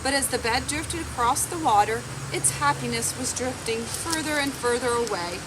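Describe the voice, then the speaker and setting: A woman around forty reading a picture book aloud in a measured, expressive storytelling way. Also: high-pitched. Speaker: library storytime reader. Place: outdoors on a garden bench. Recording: outdoor background noise with insects.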